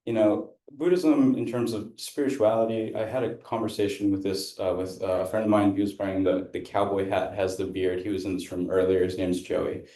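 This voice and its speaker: A man from India speaks for a Buddhist teacher before an audience, rambling and restarting often. His voice sits a little low and sounds warm and relaxed. The room adds a noticeable echo. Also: a little fast, few pauses. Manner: loose, rambling, many fillers and restarts. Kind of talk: interpreting a dharma talk, casual.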